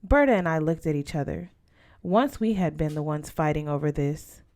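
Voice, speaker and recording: A woman narrates a story for young readers in a slightly low voice. She reads from a script, as in an audiobook. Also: animated, moderate pace, a little mellow. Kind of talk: scripted narration, reading a children's novel aloud.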